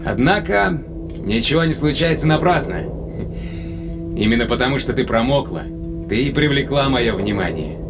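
An actor playing a tender but probing spaceship captain in a Russian audio drama, speaking conversationally with deliberate pauses. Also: male voice, medium pitch, animated, over music, close to an everyday voice. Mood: fond reminiscing. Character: male spaceship captain, long-lived, tenderly probing his companion.

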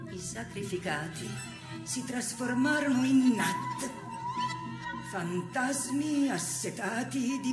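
Haunted woman remembering, really slow highish voice, stage monologue, music loud behind. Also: heavily acted. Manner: measured, deliberate, dramatic phrasing. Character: haunted, reflective woman recalling childhood fear of spirits. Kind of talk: poetic theatrical monologue. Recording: echoing theatrical sound with prominent music.

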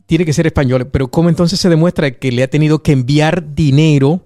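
A man's voice: fairly high pitch, steady tone, fairly quick, mellow. A man hosting a radio show, politely asking a lawyer clarifying questions. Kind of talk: interviewing a guest.

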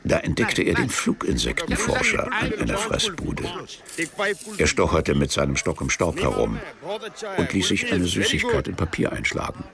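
A male actor narrating a literary radio play in a measured, detached way, as a storyteller with dry irony. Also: low voice, wildly swooping pitch, creaky, over a noisy crowd, heavily acted.

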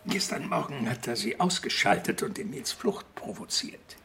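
Gravelly, pedantic police commander. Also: male voice, mid-range voice, sing-song, normal speed, sharp tone, a little hiss or hum, full character voice. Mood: reproachful. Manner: dry, pointed, argumentative. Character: skeptical, pedantic middle-aged male police commander. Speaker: actor in a radio crime drama. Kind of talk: German radio play acting. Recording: studio drama with music behind.

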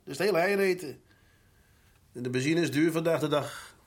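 A man playing a gruff, cynical working-class father. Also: on the high side, brisk, close to an everyday voice. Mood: weary, reluctant. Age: middle-aged. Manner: curt, sarcastic, grumbling. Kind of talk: naturalistic Dutch radio drama acting.

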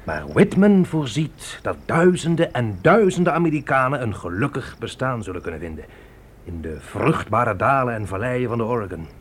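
A man's voice: medium pitch, husky. An actor playing an earnest pioneer father teaching his sons in a radio drama.

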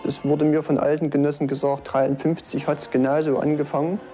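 A man giving reflective testimony in deliberate, measured phrases. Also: a little high, little pitch movement, mellow, soft background music. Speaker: former state security insider giving testimony.

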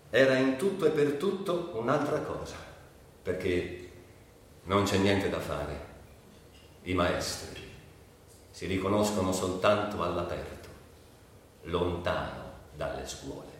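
An earnest, reminiscing disciple, echoing. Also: male voice, low-pitched, very animated, full character voice. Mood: earnest, emphatic. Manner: earnest, emphatic, broken into short measured phrases. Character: reflective man recalling his admired mentor from childhood. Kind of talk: theatrical radio monologue, reminiscence. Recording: very echoey, distant, faint noise.

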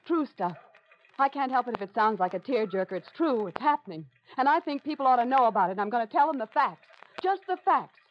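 A woman's voice: fairly high pitch, expressive, brisk. A woman playing a compassionate, determined newspaper reporter, direct and resolute, in an old radio drama. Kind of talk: 1940s-50s radio drama acting. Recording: muffled, noisy old radio recording.

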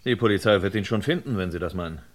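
An actor playing a wary, determined man who speaks in a measured, insistent, questioning way in a German radio crime drama. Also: on the low side, slight background noise, close to an everyday voice. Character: wary, determined man bargaining and probing an old murder.

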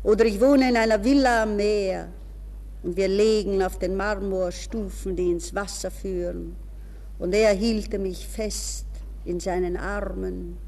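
A woman's voice: mid-range voice, fairly even intonation, unhurried, a little mellow, big-room sound, natural voice. An actress playing a desperate, dreamy young woman from a good Viennese family in a theatrical interior monologue for a German radio play. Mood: dreamy, longing.

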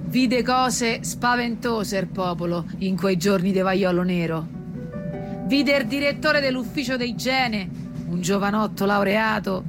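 Slow, mocking village storyteller woman. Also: on the high side. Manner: colloquial, mocking, declaiming. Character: mocking, earthy village woman telling local tales. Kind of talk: theatrical storytelling narration.

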